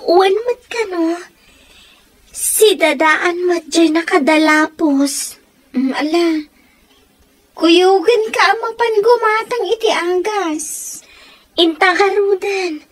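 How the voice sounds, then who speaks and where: A woman's voice: very high voice, slow pace, long pauses. A woman acting in an Ilocano comedy radio drama, with an Ilocano Filipino accent. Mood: conversational.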